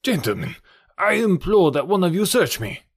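A man's voice: sits high, very animated, normal speed, husky, no room sound, studio quality, voice pushed up, heavily acted. A man voicing a proud French count in an audiobook, formal and dramatic, indignant yet courtly. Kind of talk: audiobook character voice.

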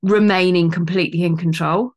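A woman hosting a podcast, chatting in an animated, candid way and swearing casually. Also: fairly low pitch, brisk. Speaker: podcast host. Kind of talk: casual conversation.

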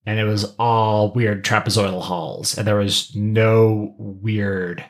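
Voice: sits low, steady tone, slow pace. A podcast co-host in a casual pop-culture discussion, explaining things and slipping into joking asides.

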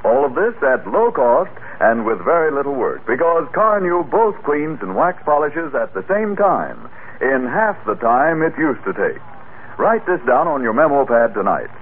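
A man's voice: medium pitch, sing-song, normal speed. An American man announcing a scripted 1940s radio commercial in a polished, emphatic sales style. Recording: tinny, hissy old radio recording.

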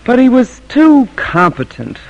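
A woman's voice: on the high side, huge pitch swings, measured pace, vocal fry, highs cut off, full character voice. An actress playing an anxious, strong-willed, middle-aged colonial wife and mother, speaking with emphatic repetition in an old-time radio drama. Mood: wry, reflective. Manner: emphatic, repeating phrases for weight.

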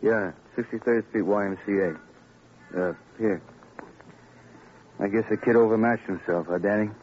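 An actor as a low-voiced, clipped city cop. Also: male voice, fairly even intonation, moderate pace, close to an everyday voice. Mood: matter-of-fact, musing. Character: plain-spoken city policeman at a crime scene. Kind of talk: 1950s radio crime drama acting. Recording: dull, faintly noisy old radio recording.

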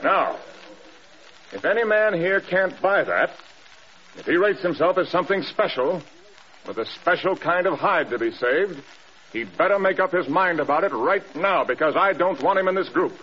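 An actor with an American accent playing a stern, blunt air force general in an old-time radio drama. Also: male voice, a little high, animated, normal speed, sharp tone. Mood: hard, commanding. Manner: commanding, clipped, blunt. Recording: dull old radio recording, clear noise.

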